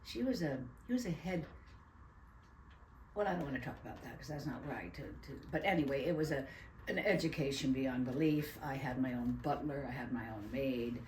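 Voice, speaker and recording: An older actress from New York tells animated stories about her roles in a casual interview, with laughing asides. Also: a bass voice, normal speed, slight background noise. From USA (New York). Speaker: guest actress. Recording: roomy echo.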